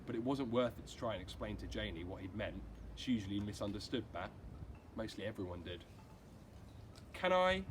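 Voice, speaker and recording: A man's voice: on the low side, steady tone, quick pace. A man reading a children's storybook aloud like a teacher, acting out the dialogue expressively. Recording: clearly noisy amateur recording.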